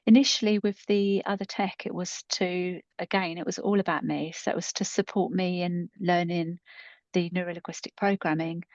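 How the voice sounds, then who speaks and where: Woman in her 50s, lower-pitched voice, casual rambling storytelling, dull-sounding recording. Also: fairly even intonation, normal speed, a little mellow. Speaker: podcast co-host and coach. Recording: muffled, roomy echoing recording.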